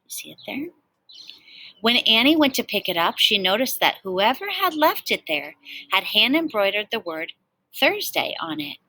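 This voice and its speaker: A woman reading a children's storybook aloud in an expressive, animated way, like a teacher talking to young listeners. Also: a little high, moderate pace, low-quality recording.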